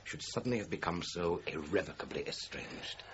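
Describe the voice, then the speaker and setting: An actor playing a shrewd, persistent police detective in a radio crime drama, measured, formal and courteously probing. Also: male voice, low-pitched, expressive. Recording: muffled, noisy radio drama recording.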